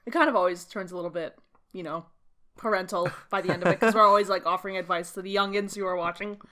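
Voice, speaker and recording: A woman's voice: on the low side, steady tone, fast, bright, forceful tone. A woman in her mid twenties from Canada, chatting casually as a podcast co-host in an informal, sweary way. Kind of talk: casual chat.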